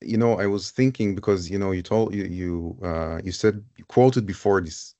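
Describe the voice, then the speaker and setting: A man with a fairly low voice moderates a live panel conversation. He speaks in a thoughtful, searching way and often goes back over words as he builds a question. His delivery moves forward at an unhurried pace. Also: dark tone. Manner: thoughtful, searching, repeats phrases.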